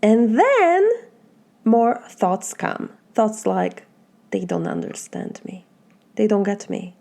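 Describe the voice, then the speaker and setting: A woman with a Polish accent gives a motivational talk as a life coach, in a mellow, darkish voice with a gravelly edge. She speaks slowly and earnestly. Her talk moves through one reflective question after another. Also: mid-range voice, wildly swooping pitch. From Poland. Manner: asks many reflective questions, slow and earnest.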